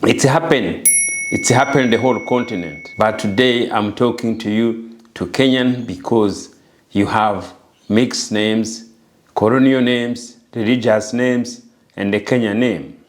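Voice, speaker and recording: A man's voice: lowish voice, unhurried. A middle-aged man from Rwanda giving an earnest, emphatic personal message straight to the viewer and repeating his phrases for weight. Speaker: vlogger.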